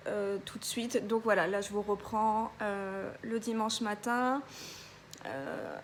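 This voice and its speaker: A woman's voice: mid-range voice, takes it slowly, slight background noise. A young woman vlogger telling a story in a casual, chatty way, with many hesitations and a stuffy nose from a cold. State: congested, a bit ill.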